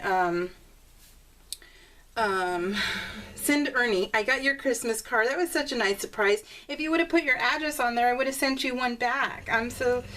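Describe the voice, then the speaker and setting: Female crafting vlogger, medium pitch, giggly and informal, rambling chat straight to viewers. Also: fairly bright tone. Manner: bubbly, giggly, chatty.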